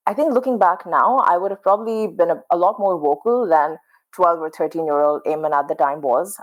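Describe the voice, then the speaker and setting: A woman's voice: sits low, poor audio. A woman in her late twenties from Pakistan, a native Urdu speaker, telling her story earnestly and reflectively as a podcast guest. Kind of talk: casual conversation.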